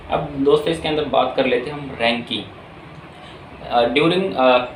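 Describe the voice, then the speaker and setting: A man's voice: medium pitch, a little hiss or hum. A man giving an informal, instructional explainer as a video host, mixing in many English terms. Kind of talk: informal explainer addressing viewers. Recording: roomy echo with faint music.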